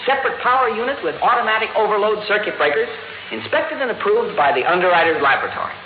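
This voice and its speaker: Eager male salesman, high and swooping voice, emphatic patter, tinny vintage radio. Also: animated, fast talker, a little hiss or hum. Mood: eager, boastful. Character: slick, eager salesman pitching an automatic nursery. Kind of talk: 1950s radio acting.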